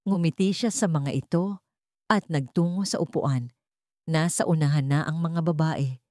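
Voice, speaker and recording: A woman's voice: deep voice, normal speed, warm tone, dry recording, studio quality. A woman narrating a scripted dramatic story as an audiobook narrator.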